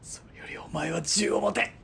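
An actor playing a tough, stubborn wounded sniper in a radio drama, speaking roughly through gritted pain. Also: male voice, sits high, normal speed, bright, forceful tone, a little hiss or hum, heavily acted. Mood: pained, defiant. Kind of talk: Japanese radio drama acting.

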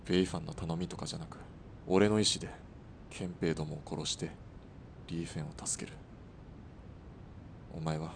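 A man playing a gruff, resolute sniper in a radio drama, speaking tersely and grimly. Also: fairly even intonation, moderate pace, pauses often. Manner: terse, grim. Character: resolute, gruff male sniper in Taisho-era Japan. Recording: faint rumbling background noise.

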